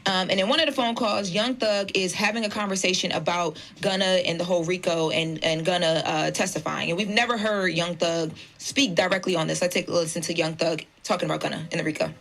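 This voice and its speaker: A woman's voice: fairly low pitch, fairly even intonation, fairly quick, creaky, few pauses, a bit bright. A woman presenting entertainment news in a conversational way, careful and explanatory in how she phrases things. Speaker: radio show news presenter.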